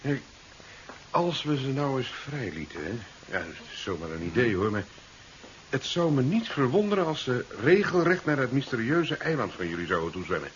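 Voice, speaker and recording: Male actor for radio drama scientist: curious, dry wit, medium-pitched, dull hissy seventies sound. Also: sing-song, moderate pace, close to an everyday voice. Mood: musing. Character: curious, dryly witty male scientist, keen on experiments. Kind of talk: 1970s Dutch radio drama acting.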